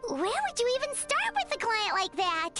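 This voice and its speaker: A small, childlike video game companion creature. The voice is squeaky and extremely high, swooping with exclamatory curiosity, over loud music. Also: female voice, huge pitch swings, normal speed, full character voice. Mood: astonished, curious. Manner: exclamatory, inquisitive. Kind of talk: video game dialogue acting.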